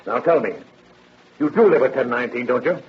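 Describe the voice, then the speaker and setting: An actor playing a brisk, confident male police detective, pressing and wry, in a 1930s-style radio crime drama. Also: medium pitch, expressive, slight background noise, close to an everyday voice. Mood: probing, wry. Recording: dull old radio recording.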